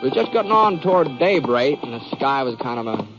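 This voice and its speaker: A man's voice: mid-range voice, sing-song, a little fast, lightly acted. An actor playing an easygoing, folksy cowboy hero who tells his tale with a hesitant drawl in a 1950s radio western. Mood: folksy, reflective. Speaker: lead actor and narrator. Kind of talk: 1950s radio western acting, first-person storytelling. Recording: dull 1950s radio recording, music behind.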